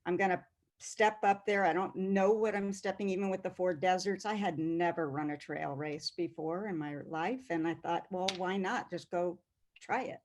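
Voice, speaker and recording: A woman in her sixties with a fairly low voice talks over a video call. She answers in an unhurried, modest way, pausing often with fillers as she gathers her thoughts. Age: 60s. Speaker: guest athlete. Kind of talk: conversational interview answer.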